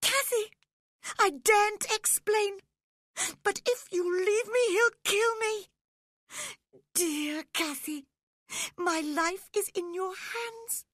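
A woman's voice: extremely high pitch, slow pace, pauses often, fairly bright tone, dry recording, studio quality, voice pushed up, heavily acted. A woman voicing a frightened, sickly teenage boy in an audiobook story, sobbing and pleading. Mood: tearful pleading. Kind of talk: dramatised audiobook reading.

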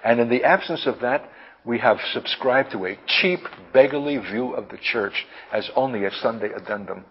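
A man preaching and teaching in a deliberate, emphatic manner with lofty wording, halting now and then to repeat himself. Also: mid-range voice, lively intonation, slight background noise. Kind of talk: impassioned prophetic sermon, teaching. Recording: dull, lo-fi sermon recording.

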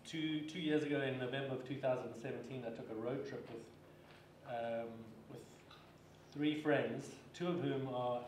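A man's voice: medium pitch, steady tone, measured pace, darkish tone, far from the mic, slight background noise. A man in his forties from Zimbabwe, speaking on a panel stage with a handheld mic, with lots of fillers and restarts. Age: 40s. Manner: hesitant, many fillers and restarts. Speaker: panelist author. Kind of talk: casual talk on a panel. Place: stage in a large dark hall. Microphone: handheld microphone. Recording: roomy hall sound, mic a bit off.

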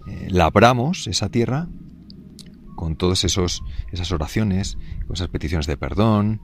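A man, a priest, giving an unscripted catechesis in a gentle, halting way, with many fillers and restarts. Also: low-pitched, lively intonation.

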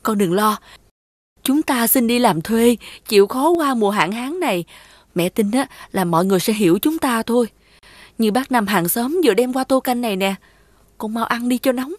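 A woman voicing a gentle, patient, wronged village widow and mother in a fairy-tale cartoon. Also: on the high side, animated, normal speed, no room sound. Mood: gentle, resigned.

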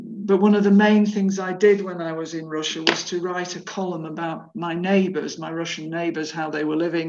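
A woman's voice: low-pitched, vocal fry, a little mellow. An older woman talking about her work in an interview on a video call. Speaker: journalist interviewee. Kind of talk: interview talk about career. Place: plain room indoors, video call. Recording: slightly dull video-call audio.

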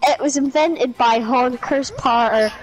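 Slow, theatrical high-voiced woman reading. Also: a crowd in the background, rough recording. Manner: slow, theatrical reading broken by giggles and swearing. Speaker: streamer reading an article aloud. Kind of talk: mock-dramatic reading with asides.

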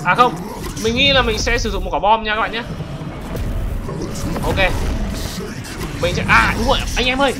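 An excited gaming host commentates on gameplay in an exclamatory way. The voice has a medium pitch and talks to viewers like friends. Music plays clearly behind the commentary. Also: moderate pace, pauses often. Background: background music.

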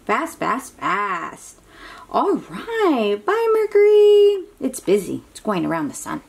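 A woman reads a picture book to little children in an unhurried, slightly breathy voice. Her pitch swings widely as she exclaims, stretches words and drops into hushed asides, often putting simple questions to her listeners. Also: huge pitch swings. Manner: talks directly to young listeners with simple questions. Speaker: children's read-aloud host. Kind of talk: animated picture-book reading to young children.

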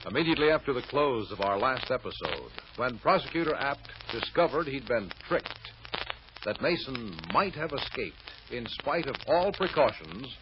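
A man narrating a radio serial as its announcer, suspenseful and emphatic, with deliberate pauses. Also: mid-range voice, very animated. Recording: dull, noisy old radio recording.

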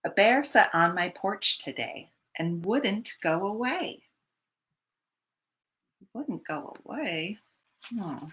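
A woman in her thirties hosting storytime, reading a picture book to young children in an expressive, teacherly way. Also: mid-range voice. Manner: expressive, deliberate teacherly delivery. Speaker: children's library storytime host. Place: small home room, indoors.

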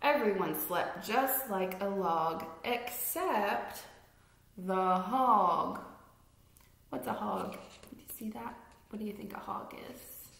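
A woman in her thirties reading a children's picture book aloud expressively, deliberately stressing rhymes and putting questions to young listeners. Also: mid-range voice, lively intonation, creaky, fairly bright tone, a little hiss or hum. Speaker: read-aloud storyteller. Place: indoor room at home with fireplace mantel. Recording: echoey room.

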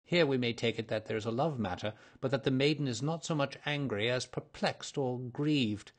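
A man reading the part of a cool, precise detective who explains his deductions in a measured way. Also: mid-range voice, lightly acted. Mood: lecturing. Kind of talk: audiobook character reading.

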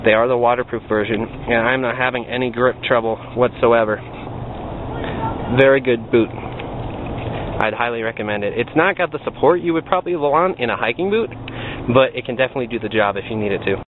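A man's voice: mid-range voice, a little fast. A young man casually talking to camera while hiking an outdoor trail, with frequent ums. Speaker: vlogger. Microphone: handheld camera. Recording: tinny lo-fi camera audio, loud wind noise.

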